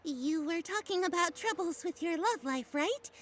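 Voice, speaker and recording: Very high-pitched, placating young friend. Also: female voice, animated, moderate pace, a bit bright. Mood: flustered, placating. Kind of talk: video game character dialogue.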